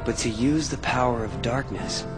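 A man's voice: medium pitch, normal speed, over music, natural voice. An actor playing a brooding, guarded young man in a video game, restrained and wry. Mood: ashamed, grave. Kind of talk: video game cutscene voice acting.

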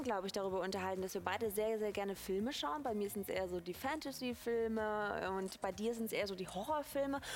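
A woman's voice: a little high, slight background noise. A woman presenting live teleshopping, talking in an enthusiastic, conversational way in a large hall. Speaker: shopping TV presenter. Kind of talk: live teleshopping sales talk.

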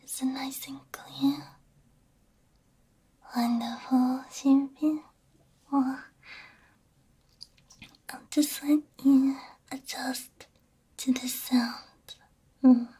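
A woman whispering gently and soothingly in an ASMR roleplay as a repair technician, breathy and close to the microphone. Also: sits high, little pitch movement. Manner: gentle, soothing, unhurried, soft hums between phrases. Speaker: ASMR VTuber playing a robot repair technician. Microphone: close binaural ASMR microphone.

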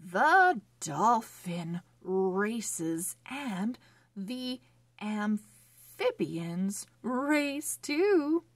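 A woman hosting a children's read-along phonics lesson, speaking in a sing-song, encouraging way with deliberate, exaggerated enunciation. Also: mid-range voice, sing-song, dry recording.

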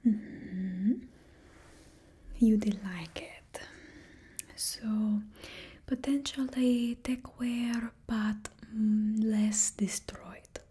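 Brief: woman putting on a suave supervillain stylist, put-on Russian accent, close whisper, mellow and slow, fussy and attentive. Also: a little high, slightly rough, full character voice. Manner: fussy, attentive, drops small words. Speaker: ASMR roleplay performer. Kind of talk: ASMR roleplay. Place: indoor room in front of a painted backdrop. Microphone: close ASMR mic, moving near and far.

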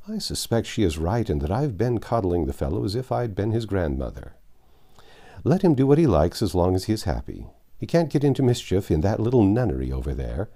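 A man voicing a gruff but kindly old rich gentleman in a read-aloud story, brusque and fatherly. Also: on the low side.

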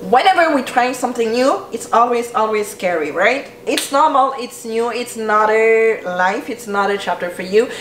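A young woman speaks earnestly and encouragingly to camera, her voice a little high. She talks in a bedroom that sounds roomy and echoey, with the camera at medium distance. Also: lively intonation, normal speed, few pauses, fairly bright tone, slight background noise. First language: French. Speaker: style coach and YouTuber. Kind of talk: motivational vlog talk to camera. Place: bedroom with clothes rack and shelves, indoors.

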